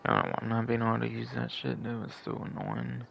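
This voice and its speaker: Gravelly, foul-mouthed male game streamer. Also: medium pitch, big pitch swings, quick pace, lo-fi sound. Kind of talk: casual live gameplay commentary. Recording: loud noise behind the voice.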